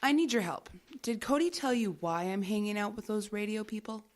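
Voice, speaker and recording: An actress playing a secretive government agent in an audio drama, dry and unflappable. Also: female voice, medium pitch, animated, fairly quick, slight background noise, lightly acted. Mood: wry.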